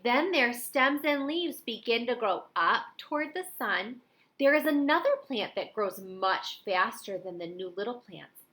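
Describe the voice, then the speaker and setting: Female storybook reader, middle-aged, slightly high pitch, expressive delivery for young listeners. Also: unhurried. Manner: expressive, with dramatic emphasis. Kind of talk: children's picture book read-aloud.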